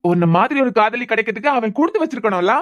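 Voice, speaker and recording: A woman's voice: on the high side, animated, rapid-fire, natural voice. A woman voicing a bitter, hurt young husband, sarcastic and repeating words in anger. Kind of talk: amateur audio drama.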